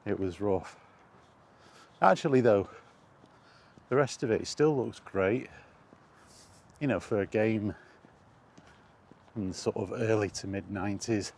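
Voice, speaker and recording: A man's voice: on the low side, slow pace, long pauses, darkish tone. A middle-aged man giving a casual, rambling, reflective monologue as a gaming vlogger, often repeating phrases for emphasis. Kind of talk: casual rambling monologue about video games. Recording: clear background ambient noise.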